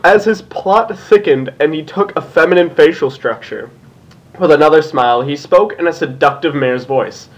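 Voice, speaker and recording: A man's voice: a little high, normal speed, low-quality recording. A man casually reading a story aloud for comedy, often breaking into giggles as he goes. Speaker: co-reader of a fan fiction reading show.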